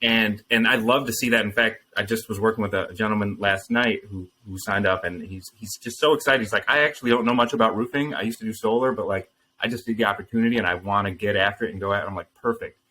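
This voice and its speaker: A man talking earnestly and thoughtfully as a founder guest in an interview, pausing with frequent ums. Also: fairly low pitch, sharp tone, slight background noise, low-quality recording. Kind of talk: casual interview answers.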